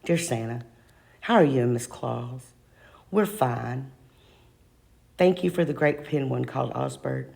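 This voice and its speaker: A woman's voice: rumbling low, a little slow, creaky, long pauses, darkish tone, exaggerated voice. A woman voicing a polite young storybook boy reading out his courteous, tentative letter to Santa. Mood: polite, hesitant.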